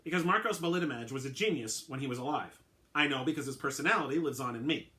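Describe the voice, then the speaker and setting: A man's voice: medium pitch, fast, bright, forceful tone, lightly acted. A man voicing an eccentric, oddly formal male clone who explains patiently and keeps correcting himself. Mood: explaining.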